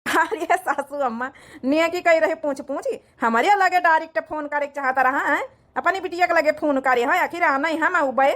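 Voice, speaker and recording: Woman playing a high-voiced jaunty Awadhi husband. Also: normal speed, a bit bright. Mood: breezy, confident. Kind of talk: cartoon comedy dialogue.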